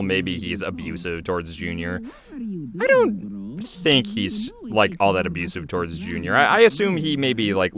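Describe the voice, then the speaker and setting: Deep-voiced man giving casual commentary. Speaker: creator and voice actor giving commentary. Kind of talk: casual commentary on own animation. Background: audio of the cartoon episode.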